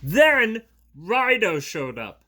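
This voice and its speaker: A man voicing a worried big elephant from a children's picture book, slow, loud and emphatic. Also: high-pitched, huge pitch swings, a little hiss or hum, pitched above the performer's own voice, heavily acted. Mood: storytelling. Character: worried big elephant, storybook best friend.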